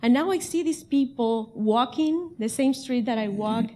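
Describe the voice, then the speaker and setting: A woman's voice: fairly high pitch, moderate pace, warm tone, slight background noise. Cuban-accented woman, earnest and pained. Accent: Cuban Spanish. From Cuba. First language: Spanish. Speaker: audience member. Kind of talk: public comment at a panel Q&A.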